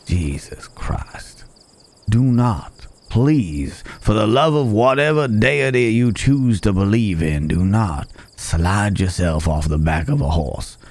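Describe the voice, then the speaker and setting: A man playing a low, unhurried cowboy drifter. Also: animated, a little mellow, heavily acted. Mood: exasperated, concerned. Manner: folksy, rambling, murmured asides to horse and companion. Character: gruff, wry, caring cowboy stranger, adult man. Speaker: ASMR roleplay voice actor. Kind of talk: ASMR Wild West roleplay.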